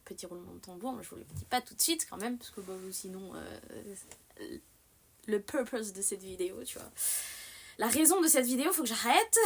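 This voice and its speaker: A young woman hosts a casual live stream at a middle pitch. She meanders through her thoughts and circles back on half-finished sentences. Her delivery is animated and self-mocking. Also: normal speed, slight background noise. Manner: rambling, many fillers and restarts. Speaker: livestream host. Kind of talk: casual livestream chat.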